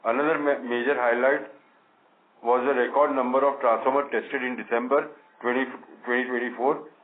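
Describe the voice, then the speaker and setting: A man's voice: mid-range voice, fairly even intonation, moderate pace. Indian-accented man on a phone-like line. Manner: formal reading with stumbles over words. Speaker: company managing director. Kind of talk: prepared scripted remarks on an earnings call. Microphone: conference call line. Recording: narrow phone-like line with faint noise.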